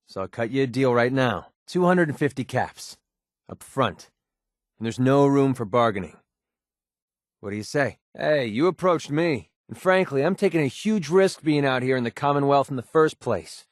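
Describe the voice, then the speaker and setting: An actor playing a cynical, wisecracking young mercenary for a video game, with an American accent and a streetwise, informal delivery. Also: male voice, medium pitch, animated, normal speed, no room sound, studio quality, natural voice. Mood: wary, irritated. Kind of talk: video game companion dialogue.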